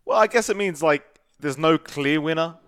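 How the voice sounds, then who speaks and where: A man with an Australian accent, casting a competitive game match with brisk play-by-play and playful teasing of his co-caster. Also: on the high side, a bit bright, clear, resonant tone. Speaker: esports caster.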